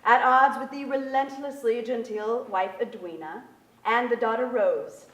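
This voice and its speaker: A woman's voice: on the high side, measured pace, fairly bright tone. A woman in her thirties giving a formal scripted narration from a stage. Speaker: presenter reading at a staged reading. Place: on a theater stage, indoors. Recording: echoey theater, distant pickup.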